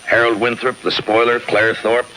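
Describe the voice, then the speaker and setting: An American man playing a cool, relentless police lieutenant in a 1950s radio crime drama, delivering clipped, deadpan wisecracks. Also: medium pitch, normal speed, natural voice. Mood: sardonic. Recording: noisy old 1950s radio broadcast.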